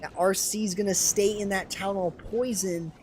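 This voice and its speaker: A young man commenting live on a video game match, excitable and quick to burst into exclamations, his voice strained and starting to go hoarse. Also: sits high, slight background noise. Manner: excitable, rising to sudden exclamations. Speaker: esports commentator and streamer.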